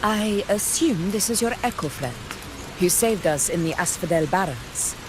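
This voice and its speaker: A woman's voice: fairly low pitch, animated, moderate pace, background noise, lightly acted. An actress playing a dignified, resolute warrior woman in a video game, formal, solemn and loyal. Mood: grateful, guarded.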